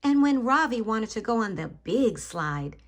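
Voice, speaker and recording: A woman's voice: fairly high pitch, very animated, unhurried. A woman hosting a children's story time, reading a picture book expressively, addressing young listeners directly and stressing key words for drama.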